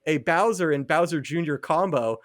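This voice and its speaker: A man's voice: on the high side, expressive, normal speed. A young man co-hosting a podcast in casual chat, rambling and laughing at his own ideas. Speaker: podcast co-host.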